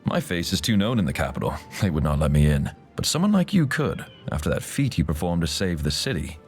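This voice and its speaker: Very deep, wry schemer. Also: male voice, very animated, fairly quick, darkish tone, soft background music. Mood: conspiratorial. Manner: wry, conspiratorial. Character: wry, scheming male infiltrator, sardonic ally. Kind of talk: video game dialogue acting.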